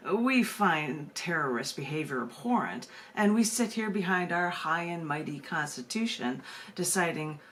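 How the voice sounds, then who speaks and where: An American woman with a slightly low voice speaks like a poet hosting an open mic. Her delivery is emphatic yet conversational, with halting repetitions as she goes. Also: a bit bright. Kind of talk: spoken-word poetry reading and open mic hosting.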